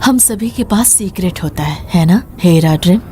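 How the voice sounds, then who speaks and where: A woman's voice: fairly low pitch, expressive, moderate pace, warm tone, a little hiss or hum, lightly acted. A woman dubbing a guarded, questioning young warrior in a fantasy game film. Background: background music.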